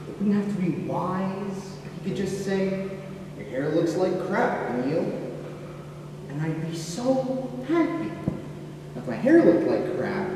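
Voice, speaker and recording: Obsessive, sincere teen boy played by a young man, stage monologue; distant recording with noisy background. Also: a little high. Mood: forlorn. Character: earnest, obsessive teenage boy confiding odd beliefs. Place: school theatre stage, recorded from the audience. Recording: noisy, very echoey hall from far off.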